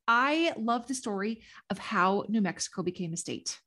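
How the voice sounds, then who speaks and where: A woman hosts a podcast and tells a story with gushing enthusiasm. Her voice sits at a middle pitch. She keeps it chatty and fills the gaps with affirming murmurs. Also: expressive, moderate pace, a bit bright. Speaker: podcast host telling a history story. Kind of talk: casual conversational storytelling.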